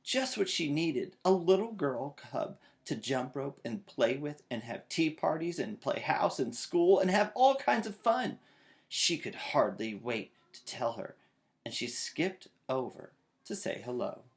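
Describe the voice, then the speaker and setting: A man's voice: fairly high pitch, big pitch swings, measured pace. A man in his thirties narrating a children's storybook aloud. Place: small child's bedroom, indoors.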